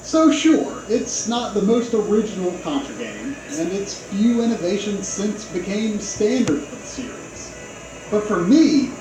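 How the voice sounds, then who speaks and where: A man giving a scripted video game review in casual, conversational phrasing. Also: high-pitched. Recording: very echoey playback with clear noise.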